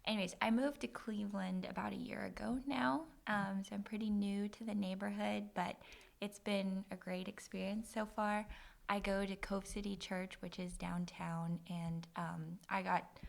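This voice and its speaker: Young woman from Uganda, strong fry, modest and soft-spoken guest. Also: mid-range voice, steady tone, normal speed. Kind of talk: casual chat.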